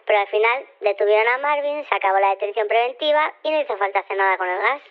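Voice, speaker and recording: A woman's voice: medium pitch, fast, clear, resonant tone. An actress playing a shrunken, sheepish woman scientist who rambles evasively in a small voice over a telephone line in an audio drama. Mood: rambling, justifying. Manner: rambling, casual, self-correcting, tag questions. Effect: telephone filter. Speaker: phone-in caller. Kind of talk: Spanish audio fiction radio phone-in. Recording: thin, band-limited telephone line.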